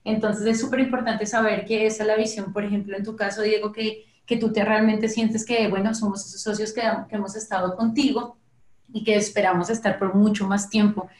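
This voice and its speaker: A woman host leads a casual podcast interview, circling back and restarting her sentences as she talks. Her voice sits at a middle pitch and rings in a roomy, echoey space. Her Spanish carries traces of a Portuguese-speaking life. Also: flat delivery, brisk. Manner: warm-hearted, repeats herself, restarts phrases. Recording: echoey room.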